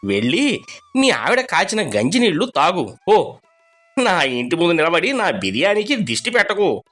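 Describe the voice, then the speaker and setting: A man voicing an arrogant rich village man in a cartoon story, taunting and shouting. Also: high voice, very animated, moderate pace, faint music behind, pitched above the performer's own voice, exaggerated voice. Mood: mocking.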